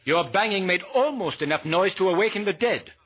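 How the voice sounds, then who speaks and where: A man's voice: high voice, animated, fairly quick, fairly bright tone. A man playing a smug, menacing, mannered villain in formal, florid phrases, in 1950s radio drama style. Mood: smug, theatrical.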